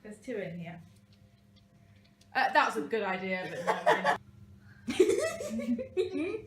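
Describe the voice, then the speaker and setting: Noisy echoey recording of an animated woman. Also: medium pitch, slow. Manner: playful, giggly. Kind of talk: casual banter among friends at home. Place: indoors in a house. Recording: echoey room, loud noise and clicks.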